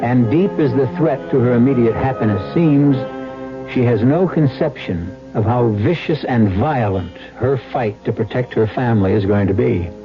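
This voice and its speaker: A man narrates an old-time radio mystery as its host. His delivery is dramatic, deliberate and ominous in a mid-range voice, with music clearly behind him and a dull broadcast sound. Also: mid-range voice.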